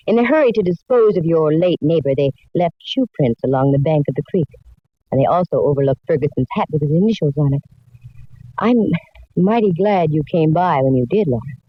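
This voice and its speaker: A woman's voice: sits low, expressive, fairly quick, slightly rough, no room sound. A woman playing a shrewd, wry, self-assured private detective in a 1940s radio drama, laying things out with wisecracks. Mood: confident, revealing. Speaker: lead actress and narrator. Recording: dull vintage radio recording.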